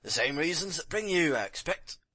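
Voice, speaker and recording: Male actor as eager police inspector, proud, blunt, forceful bright voice, animated intonation, audiobook drama. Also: a little high, normal speed, dry recording, full character voice.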